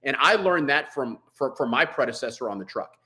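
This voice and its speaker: Male firefighter guest, bright forceful voice at average pitch, plain-spoken and emphatic, conversational shop talk. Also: lively intonation, fairly quick. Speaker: veteran firefighter, podcast guest.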